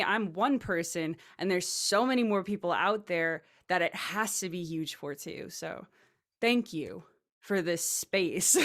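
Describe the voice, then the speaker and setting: A woman speaking earnestly and with feeling in a casual panel discussion, her voice often trailing softer. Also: on the low side. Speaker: panel guest.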